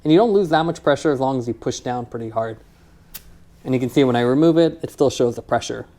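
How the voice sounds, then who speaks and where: A man's voice: medium pitch, quick pace, warm tone. A man giving a casual, plain-spoken product review, explaining and demonstrating features in a matter-of-fact way.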